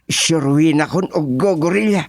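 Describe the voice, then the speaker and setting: A Filipino man playing a baffled, excitable village father-in-law in a radio comedy drama, exclaiming in drawn-out phrases. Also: a little high, vocal fry, dry recording. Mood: resigned.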